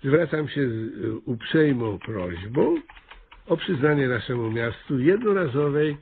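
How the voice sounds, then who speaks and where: An actor playing a pompous, officious small-town mayor who fumbles for words in a radio comedy. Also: male voice, medium pitch, animated, unhurried, gravelly. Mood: dictating. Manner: officious, pompous, hesitant fillers. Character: pompous small-town mayor, self-appointed theatre director. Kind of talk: radio comedy drama acting. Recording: muffled, noisy, phone-like radio recording.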